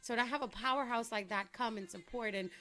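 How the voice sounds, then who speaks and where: A woman's voice: on the high side, fairly quick, a bit bright, soft background music. A young adult woman from Trinidad, a guest entrepreneur in a casual interview, talking in an upbeat, chatty way and laughing easily.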